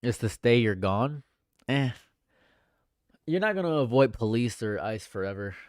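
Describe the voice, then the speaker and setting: A young man with a stuffed-up nose, reading chat comments on a livestream in a dry, deadpan way. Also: mid-range voice, measured pace, no echo. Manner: dry and deadpan, laughs at own jokes. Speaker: livestream host. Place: home room.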